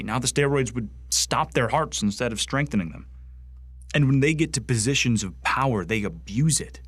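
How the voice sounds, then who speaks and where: Man acting a bitter, low-voiced young military recruit. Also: no echo, lightly acted. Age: young adult. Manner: earnest, deliberate storytelling with contemptuous emphasis. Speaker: actor in an audio drama. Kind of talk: dramatic monologue in a science fiction radio play.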